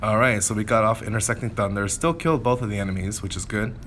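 A man with a fairly low voice talks through gameplay casually. He thinks aloud and explains things as he goes, over clearly audible background noise. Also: steady tone, quick pace, fairly bright tone. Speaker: gameplay commentator. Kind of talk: casual game commentary.

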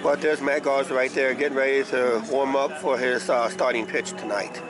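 A middle-aged man with a slightly hoarse voice, chatting casually and ramblingly as a vlog host, recorded outdoors on a handheld camera. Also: mid-range voice, fairly even intonation, normal speed, over loud music. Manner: rambling, repeats "uh, basically". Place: outdoors, roadside and ballpark. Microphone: handheld camera at arm's length. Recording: clear outdoor traffic and ambient noise.

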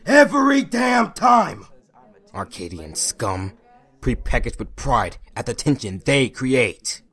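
A man's voice: mid-range voice, sing-song, slow, fairly bright tone, slightly rough, full character voice. A man playing a stern, exasperated military officer in a comic dub. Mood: irate.

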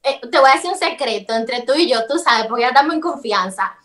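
A woman from the Cibao region with a Dominican accent, a comedian chatting lively and joking about herself in an interview over a video call. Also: sits high, fast talker, distant mic. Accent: Dominican Cibao Spanish. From Dominican Republic, Cibao region.

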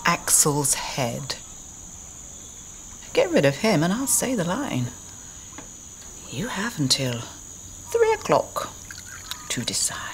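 A woman's voice: lowish voice, a little hiss or hum, heavily acted. A middle-aged woman playing a proud, weary radio actress in a comedy soap, terse and restrained. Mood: cold, determined.

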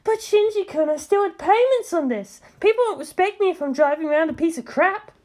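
A playful woman soldier in an anime-style audio story, voiced by a woman narrator. Her pitch sits high, and she talks in a lively, impish, teasing way. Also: a little fast. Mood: whining.

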